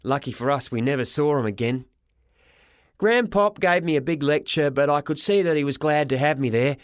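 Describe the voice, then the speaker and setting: Man, audiobook narrator, children's story, expressive scripted reading, lightly raised pitch, dry sound. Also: moderate pace, clear, resonant tone, no room sound.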